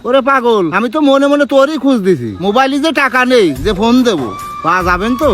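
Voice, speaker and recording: A man's voice: very high voice, expressive, fast talker, background noise. A man playing a stubborn, defensive old father with a rural Bangladeshi accent in an amateur drama. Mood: conspiratorial. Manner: argumentative, earthy. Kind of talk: amateur Bengali short drama acting.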